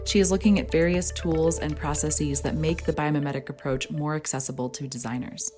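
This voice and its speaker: Low-voiced upbeat woman host. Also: fairly even intonation, brisk, darkish tone, faint music behind. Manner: upbeat, affirming. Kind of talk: reading an introduction, then interviewing. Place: outdoors in a desert botanical garden.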